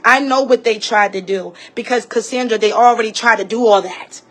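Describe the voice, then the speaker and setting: A woman's voice: fairly high pitch, brisk. A woman giving a heated, profane personal rant as a vlogger, emphatic and repeating herself as she tells her story. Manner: emphatic and repetitive, acting out others' remarks.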